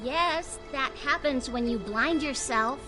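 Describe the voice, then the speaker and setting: A woman's voice: high-pitched, slow, faint music behind. A woman playing a blind hooded cultist priestess in a video game, ceremonious and grandly pronouncing. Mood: matter-of-fact.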